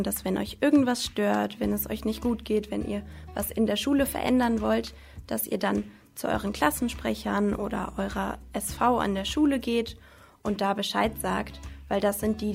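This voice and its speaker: A woman's voice: mid-range voice, fairly even intonation, moderate pace, gravelly, a little mellow, soft background music. A young woman speaking as a student representative in a radio interview. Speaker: studio guest, student representative.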